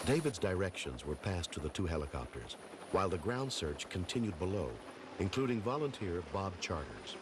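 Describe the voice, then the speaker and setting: A man's voice: deep voice, big pitch swings, moderate pace. A man reading scripted narration for a rescue documentary. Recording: loud noise behind narration.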